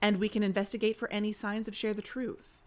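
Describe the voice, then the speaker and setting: A woman's voice: medium pitch, flat delivery, brisk, a little mellow, natural voice. A woman playing a pushy, self-assured investigator in a mock-documentary audio drama, officious and insistent.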